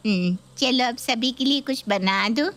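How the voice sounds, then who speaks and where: A woman's voice: fairly high pitch, a little slow, a bit bright. A woman playing a middle-aged mother-in-law who is fawning, anxious and nagging, in a dramatised story. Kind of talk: dramatised Hindi story video.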